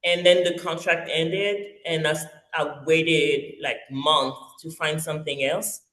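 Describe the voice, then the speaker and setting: A woman in her forties, originally from Congo by way of France, talks through her story as a guest. She pauses and restarts a lot, filling gaps with soft ums. Her voice carries a roomy echo. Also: sits low, steady tone. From Congo-Kinshasa, raised in France. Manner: hesitant, many fillers and restarts. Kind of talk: casual interview storytelling. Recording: echoey roomy sound.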